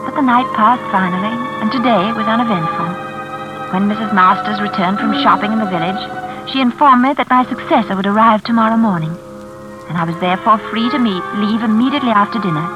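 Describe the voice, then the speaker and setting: Casting: woman playing proper, hesitant young lady's companion, medium pitch, vintage radio thriller with prominent music. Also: fast talker, lo-fi sound, lightly acted. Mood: uneasy narration. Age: young adult. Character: nervous, polite young woman working as a companion. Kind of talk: classic radio suspense acting.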